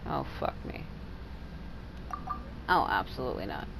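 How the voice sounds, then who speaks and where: A woman's voice: rumbling low, sing-song, measured pace, creaky. A woman gaming streamer reacting casually to a playthrough, blunt and sweary. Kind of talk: casual game playthrough with dialogue read aloud. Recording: loud noise and game ambience behind.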